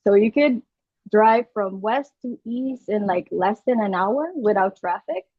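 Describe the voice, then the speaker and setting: A woman's voice: mid-range voice, a little slow, dry recording. A young woman from Aruba with a Filipino accent, a podcast guest explaining her views earnestly, step by step, with small restarts. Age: young adult. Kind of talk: conversational interview. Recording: dull dry lo-fi call audio.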